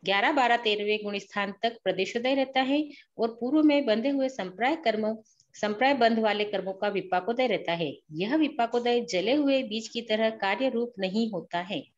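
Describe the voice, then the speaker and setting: A woman's voice: a little high, normal speed, highs cut off. A woman student reading numbered questions and answers aloud in a scripture class.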